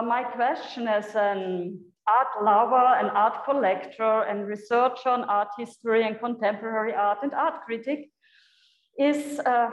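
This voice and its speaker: A woman with a German accent, a native German speaker, giving an unscripted guest lecture to students, halting and searching for words with many fillers. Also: fairly high pitch. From Europe. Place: echoey lecture room. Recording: echoey hall.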